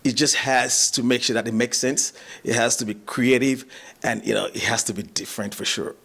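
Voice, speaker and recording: A man from Nigeria, a musician and actor, chatting in an interview, relaxed and chuckling, with many fillers and restarts. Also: mid-range voice, brisk, gravelly, breathy edge. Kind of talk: casual interview chat.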